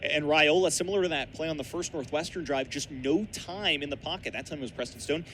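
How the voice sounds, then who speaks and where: Bright, forceful young American color analyst man. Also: a little high, fairly quick. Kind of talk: live American football analysis. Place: outdoor college football stadium. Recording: outdoor stadium broadcast, ambient background noise.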